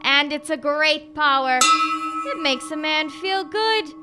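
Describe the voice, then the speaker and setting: A woman's voice: high voice, lively intonation, takes it slowly, loud music behind the voice. A woman playing a power-crazed village postman and bell-ringer, a man, in old-time radio drama style, gloating with menace.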